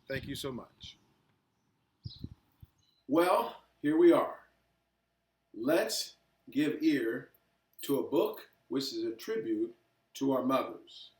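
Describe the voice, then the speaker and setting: A man hosting and telling a story in an earnest, deliberate way, with pauses and repeated phrases. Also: mid-range voice, sing-song, slightly rough. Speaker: host and storyteller. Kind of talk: earnest address and read-aloud storytelling. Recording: echoey, distant microphone.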